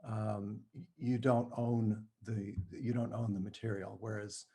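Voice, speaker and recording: A man speaking as an interview guest, explaining things thoughtfully and choosing his words with halting care. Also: fairly low pitch, flat delivery, mellow, husky. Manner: halting, careful with word choice. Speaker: playwright and screenwriter guest.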